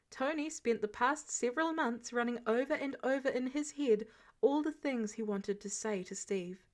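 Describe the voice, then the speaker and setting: A woman reading a scripted story as an audiobook narrator, expressively and acting out the dialogue. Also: a little high. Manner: acts out dialogue. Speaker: audiobook narrator of fan fiction.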